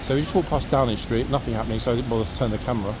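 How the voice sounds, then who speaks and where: A man's voice: mid-range voice, racing. A man giving casual, chatty walking commentary to viewers as a city walk host, recorded outdoors on the street. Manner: chatty, addressing viewers directly. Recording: clear street traffic noise outdoors. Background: traffic and urban outdoor ambience.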